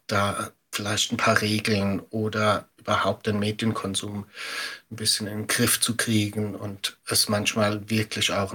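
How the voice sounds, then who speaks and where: Middle-aged male interview expert: forward bright tone, rough-edged, explains thoughtfully in conversational German-style phrasing. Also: mid-range voice. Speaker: media education expert, interview guest.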